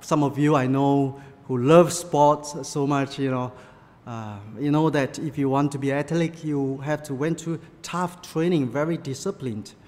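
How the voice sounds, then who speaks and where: A man's voice: mid-range voice, fairly even intonation, darkish tone. Malaysian-born man preaching in a hall. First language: Chinese. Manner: halting, many fillers, non-native English phrasing. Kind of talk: sermon with personal stories.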